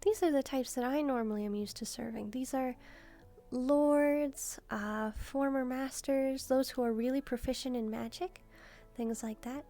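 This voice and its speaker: Woman playing a soft, high-voiced demon maid girl. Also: steady tone, unhurried, soft background music. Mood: earnest, explaining. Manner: polite, soft-spoken, hesitant and chatty. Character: sweet, curious young female demon maid. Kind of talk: roleplay addressed to the listener.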